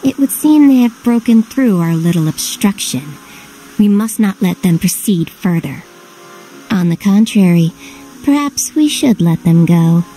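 A woman performs a cold, scheming fairy from a video game at a middling pitch. Her speech is formal and measured, full of haughty disdain, with music clearly behind it. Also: warm tone, natural voice, poor audio. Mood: calculating. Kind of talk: English video game voice acting.

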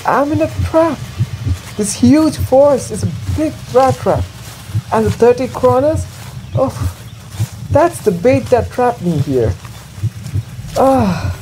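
A woman acts out a ragged wandering trap seller for an amateur radio drama. His voice is low and soft-toned, with lively swings and a hesitant, anxious manner. A faint noise sits behind it. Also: exaggerated voice, rough recording. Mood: despairing. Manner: hesitant, halting.

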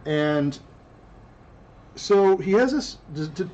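A man with a slight lisp hosting a casual podcast, animated, swearing freely and laughing often. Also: on the high side, slow pace. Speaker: podcast host. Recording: dull lo-fi sound with faint ambience.

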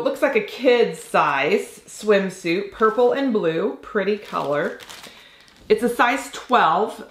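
A woman's voice: medium pitch, reverberant. A woman in her forties with a slightly nasal voice from a cold, chatting casually as she unboxes items at a desk in her home office. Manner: chatty, thinking aloud while handling items. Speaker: reseller vlogger.

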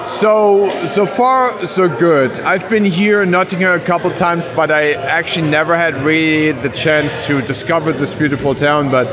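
A man from Germany speaks English with a German accent. His voice sits a little high and he sounds confident and earnest, stopping now and then to find a word. The handheld mic picks up a backstage crowd murmuring clearly behind him. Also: moderate pace, hardly any pauses. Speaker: wrestler. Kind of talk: backstage interview. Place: backstage at a wrestling event, before a sponsor wall. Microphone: handheld mic held by the interviewer.

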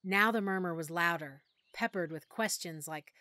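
A woman narrating a first-person audiobook in a soft, emotional storytelling manner. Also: on the low side, moderate pace, no room sound.

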